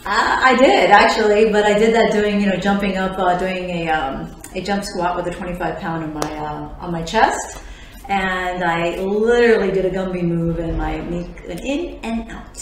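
A woman's voice: lowish voice, moderate pace, slight background noise. Miami woman lawyer chatting, laughs a lot, voice bouncing round a bare office. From Miami, Florida. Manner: laughs easily, fillers between thoughts. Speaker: attorney and podcast host. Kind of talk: relaxed interview answers. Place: office lounge with large windows. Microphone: tabletop condenser mic. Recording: very echoey room, faint ambience.

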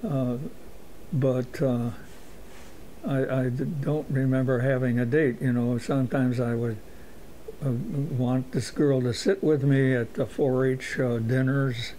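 A man of eighty-seven recalling his youth in a halting way, with many fillers and a dry humor. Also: medium pitch, fairly even intonation, warm tone, a little hiss or hum, low-quality recording. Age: 80s. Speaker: interviewee. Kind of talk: oral history recollection.

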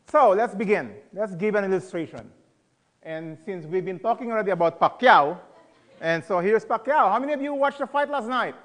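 High-voiced man teaching a Bible study. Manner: conversational, asks the audience questions, emphatic slowed stresses. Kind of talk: sermon.

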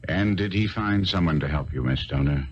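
A man acting in a 1970s radio drama, playing a shrewd, courteous gentleman detective, inquisitive, with murmured interjections. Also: sits low, moderate pace, lightly acted. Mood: attentive, probing. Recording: dull noisy old radio recording.

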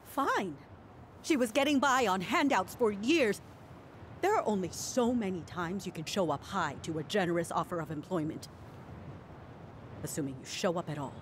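An actress playing a guilt-ridden, judgmental middle-aged townswoman in a video game drama, with a halting, defensive manner. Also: mid-range voice, lively intonation, slight background noise, close to an everyday voice. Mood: bitter, indignant.

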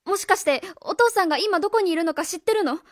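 A woman's voice: very high-pitched, lively intonation, quick pace, no echo, clean studio sound. A woman playing an earnest, easily flustered schoolteacher in a radio drama, speaking emphatically and stammering when challenged. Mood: concerned. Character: earnest, flustered female schoolteacher defending herself. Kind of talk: Japanese radio drama acting. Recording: music behind the voice.

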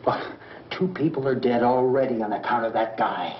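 A man's voice: mid-range voice, moderate pace, close to an everyday voice. Actor as tense, irritable man, echoey old film. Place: indoors, farmhouse room. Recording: echoey, dull, noisy old film sound.

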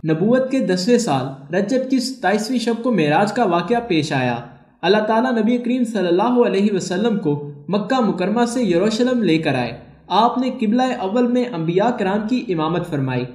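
A man with a high, light voice reads scripted documentary narration for his channel. His delivery is formal and measured, with an even, steady read. The room around him rings with noticeable echo. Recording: echoey room.

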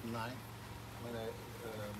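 Slow, hesitant older man. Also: fairly low pitch, completely flat delivery, very noisy. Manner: slow, searching for words. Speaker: guest.

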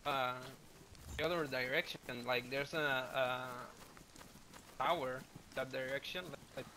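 A man, speaking haltingly in game voice chat, searching for words. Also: medium pitch, fairly even intonation. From Spain. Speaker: other player. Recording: faint noisy voice chat.

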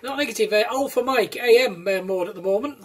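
A man's voice: high voice, fast. A British man speaking casually as an amateur CB radio operator, putting out calls. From United Kingdom. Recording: noisy CB radio recording, clicks, static. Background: radio static.

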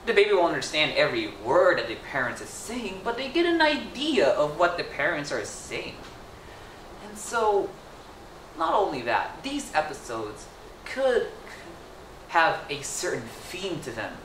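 A teenage boy whose first language is Indonesian, telling a story in a speech to a camera across a small room, animated and playful. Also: a little high, unhurried. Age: teens. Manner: animated, gesturing, playful asides. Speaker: student storyteller. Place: small indoor room with wallpaper. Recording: echoey room, mic at a distance, faint noise.

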